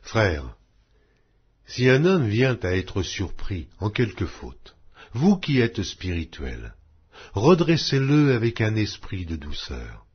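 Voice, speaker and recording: A man reading scripture aloud in a slow, solemn, measured way. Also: fairly low pitch, wildly swooping pitch, slightly rough, highs cut off. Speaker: Bible reader.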